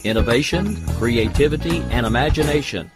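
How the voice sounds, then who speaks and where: An energetic male announcer delivers a hyped station promo. Loud guitar music plays under his mid-range voice. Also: medium pitch, fairly quick. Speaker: network announcer.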